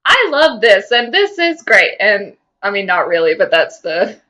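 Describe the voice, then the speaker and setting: A woman's voice: mid-range voice, very animated, measured pace, bright, forceful tone. A woman co-hosting a podcast in casual fan chat, speaking in an emphatic, opinionated way. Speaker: podcast co-host.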